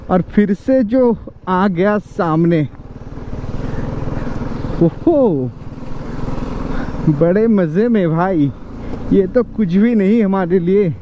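A man's voice: high-pitched, animated, very slow, long pauses. A man giving casual commentary to viewers while riding a motorcycle on a mountain road outdoors. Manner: chatty, addresses viewers as brother. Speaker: motovlogger. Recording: muffled, with loud engine and wind noise.

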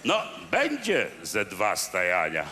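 A man's voice: on the low side, wildly swooping pitch, takes it slowly, pushed and bright, heavily acted. An actor playing a stout, pompous old nobleman knight who blusters in old-fashioned speech in a satirical radio play. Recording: old radio recording with room reverb and faint hiss.

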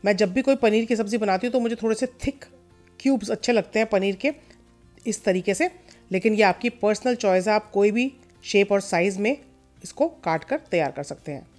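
Woman, mid-pitched, calm cooking-show voice-over with light music behind. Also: brisk. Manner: calm step-by-step instruction, mixing in English words. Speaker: cooking channel host. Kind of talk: recipe voice-over.

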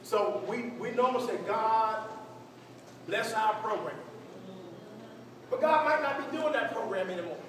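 A high-voiced man teaches like a preacher, repeating phrases for emphasis and prompting his group to respond. He is picked up by a distant mic in a church meeting room, with strong echo and clear background noise. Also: unhurried, long pauses. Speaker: pastor leading a church workshop. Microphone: distant camera among the audience. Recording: echoey hall, distant mic, noisy room.

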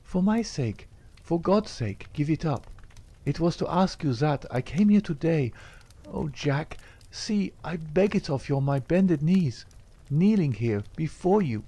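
A man's voice: on the high side, expressive, a little slow, mellow, breathy edge, voice pushed up. A man reading aloud, voicing a young woman who anxiously pleads with her outlaw lover. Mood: pleading. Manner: imploring, breathless, emotional.

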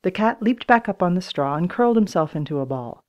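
Casting: female storybook narrator, lowish voice, animated and expressive delivery. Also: a little fast, lo-fi sound. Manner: expressive, acts out dialogue in raised voices. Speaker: audiobook narrator. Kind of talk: children's storybook reading with character voices.

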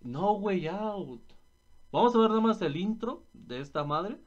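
Animated young Mexican man commentating gameplay. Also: high voice, measured pace, highs cut off. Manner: informal, slangy, profane. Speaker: gaming YouTuber.

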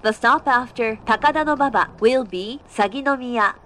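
A woman's voice: fairly high pitch, slow pace, a bit bright. A woman giving formal, carefully enunciated prerecorded announcements for train passengers. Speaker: English-language train announcement voice.

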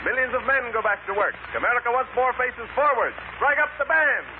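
A man's voice: extremely high pitch, animated, a little fast. A man narrating in 1930s newsreel style, declaiming clipped headlines. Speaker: newsreel announcer. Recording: tinny, very noisy old recording.

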